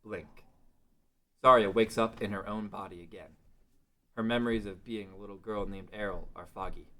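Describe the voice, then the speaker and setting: Low-pitched male story narrator. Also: steady tone, normal speed, bright, forceful tone. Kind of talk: scripted narration at a table read.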